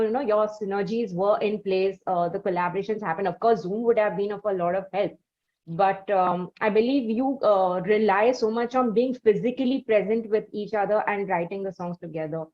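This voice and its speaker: A woman from India hosts an interview, asking long, careful questions padded with "uh" and "you know". Her voice sits in a middle range and sounds dull and lo-fi. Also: mid-range voice. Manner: measured, with frequent "uh" and "you know". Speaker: interview host.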